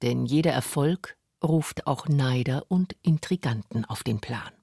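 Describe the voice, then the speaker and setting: A woman's voice: extremely low pitch, lively intonation, measured pace, vocal fry, mellow, slightly rough, no room sound, studio quality. A woman narrating a scripted radio documentary.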